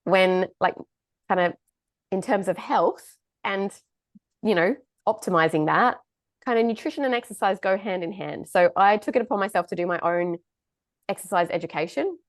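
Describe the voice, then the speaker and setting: A woman's voice: mid-range voice, moderate pace, no echo, clean studio sound. A woman in her thirties from England with a slightly Australian-tinged accent, co-hosting a podcast in candid, casual chat and swearing freely. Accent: Australian-tinged English. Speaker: podcast co-host.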